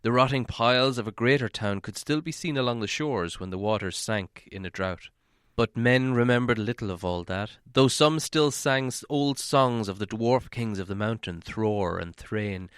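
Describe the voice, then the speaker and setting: A man reading a fantasy novel aloud as an audiobook narrator, in dramatic, measured storytelling. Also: on the low side, a bit bright, clear, resonant tone, dry recording, clean studio sound.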